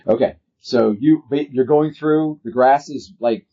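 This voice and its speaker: A man with a husky voice narrating a tabletop game as game master, explaining things with hesitant pauses. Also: mid-range voice, dark tone. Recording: muffled lo-fi recording.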